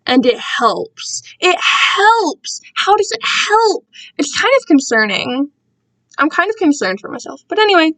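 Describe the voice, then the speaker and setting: A woman's voice: very high-pitched, big pitch swings, unhurried, fairly bright tone. A young woman livestreamer chatting casually, digressive and slangy, with self-deprecating asides. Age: young adult. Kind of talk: casual rambling chat to livestream viewers. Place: alone in own room.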